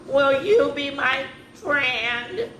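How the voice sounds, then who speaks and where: A woman reading aloud and voicing a young girl tyrannosaurus with a high, whiny, childlike voice. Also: expressive, extremely slow, a bit bright, exaggerated voice. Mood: timid. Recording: roomy with background noise.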